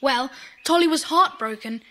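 A woman's voice: high voice, moderate pace, pushed and bright. A woman playing an earnest, kind-hearted schoolboy in a children's audio drama. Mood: worried. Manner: earnest, explanatory.

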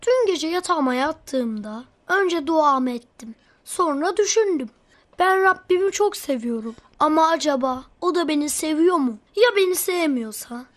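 A woman voicing an eager, inquisitive, devout young child in a children's cartoon, talking in an excitable, childlike way. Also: sits high. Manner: childlike, excitable, full of questions.

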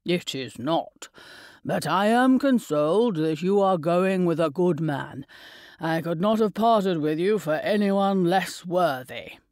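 A woman reading an audiobook, voicing a gentle older father who speaks softly and tenderly to his daughter. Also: lowish voice, lively intonation, slow pace, no room sound, clean studio sound. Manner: soft, tender, gently teasing.

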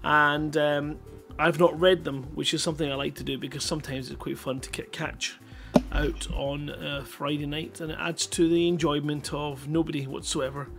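A man's voice: a little high, little pitch movement, moderate pace, vocal fry, few pauses, fairly bright tone, faint music behind. A middle-aged man hosting a livestream, chatting casually and rambling in a jokey, self-deprecating way into a headset mic. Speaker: live stream host.

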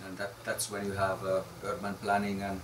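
Man, forties, low and monotone, halting speaker, caught from across a noisy echoey room. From Finland. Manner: halting, many fillers and repeated words. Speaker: architect presenting a research project. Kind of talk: informal talk to a small group. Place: small crowded room, indoors. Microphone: far camera. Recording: roomy, distant, noisy room.

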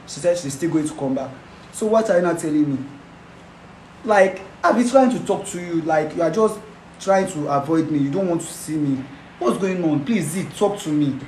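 A man's voice: a little high, expressive, normal speed, a little mellow, lightly acted. An actor playing a young man who pleads and repeats himself, breaking into laughing sobs. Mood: anxious. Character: young man heartbroken by betrayal. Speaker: betrayed boyfriend. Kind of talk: amateur skit acting. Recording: roomy echo, faint noise.

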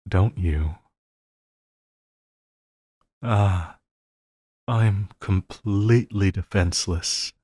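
A man's voice: low-pitched, mellow, slightly rough, no echo, clean studio sound, full character voice. A man playing an obsessive, lovestruck villain in an intimate audio roleplay, theatrical and giggly, playfully menacing and pleading. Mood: adoring. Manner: theatrical, slow drawling, frequent giggling.

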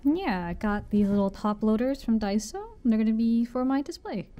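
A woman's voice: a little high, expressive, moderate pace, dark tone, lo-fi sound. Young woman chatting casually. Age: young adult. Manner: informal, rambling. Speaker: vlogger and convention artist. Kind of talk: casual vlog chat.

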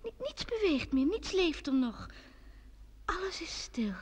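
A high-voiced, dreamy young village woman. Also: sing-song, measured pace, full character voice. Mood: wistful wonder. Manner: wistful, softly wondering. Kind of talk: old Dutch radio drama acting.

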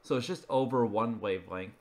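A man with a fairly low voice narrates an informal slideshow video. He explains things point by point in a plain, matter-of-fact manner, like an everyday presenter working down a list. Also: fairly quick.

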